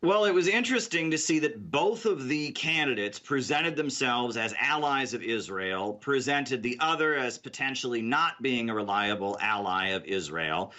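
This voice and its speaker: Bright-voiced male news correspondent. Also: medium pitch, normal speed, few pauses. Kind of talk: news commentary answering an anchor.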